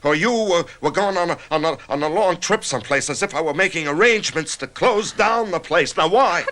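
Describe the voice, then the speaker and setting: An actor playing a frightened dying husband in a radio drama, anxious and stammering. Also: male voice, a little high, expressive, a little fast, fairly bright tone. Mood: agitated. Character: frightened dying husband, middle-aged man. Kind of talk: 1990s radio drama acting.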